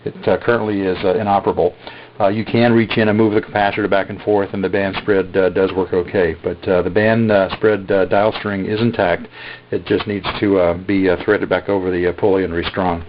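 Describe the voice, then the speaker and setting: Halting low-voiced man, dull recording. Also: little pitch movement, a little hiss or hum. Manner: halting, many "uh" fillers. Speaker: radio seller demonstrating a receiver. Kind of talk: casual product demo.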